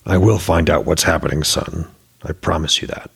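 A man's voice: rumbling low, moderate pace, gravelly, husky, exaggerated voice. A man voicing a commanding, resolute father god in a fantasy story, speaking with grave authority.

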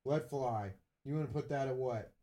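A man's voice: medium pitch, normal speed, dark tone. A man co-hosting a podcast, chatting casually about games in loose, slangy language. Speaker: podcast co-host. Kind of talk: casual gaming banter and debate.

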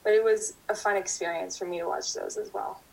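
Young adult woman, interview guest, calm, measured answers, mid-pitched. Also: vocal fry, slightly rough, low-quality recording. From Canada. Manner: thoughtful, steady, speaks in full sentences.

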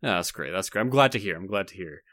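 A man's voice: deep voice, animated, quick pace, fairly bright tone, dry recording. A man co-hosting a podcast in casual, comedic banter, with a playful, giggly manner. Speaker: podcast co-host.

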